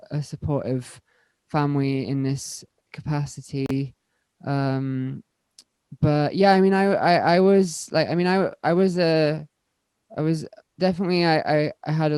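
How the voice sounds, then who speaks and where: A young woman speaking as a panel guest, candid and stumbling, repeating words as she rushes ahead. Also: sits low, mellow, clear, resonant tone, no room sound. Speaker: panel guest, electronic musician. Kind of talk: panel discussion.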